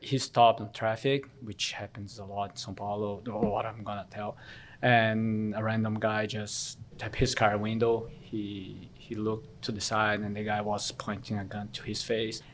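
A man's voice: fairly low pitch, flat delivery, moderate pace, slight background noise. A man in his late thirties from Brazil whose first language is Korean, frankly telling his own story in a casual interview.

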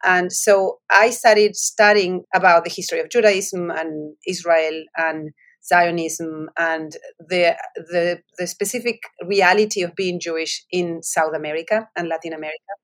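A woman author from Argentina, speaking English with a Spanish accent, her voice set slightly low. She tells her story in a reflective, winding way, often restarting her sentences. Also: steady tone, measured pace. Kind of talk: interview answers.

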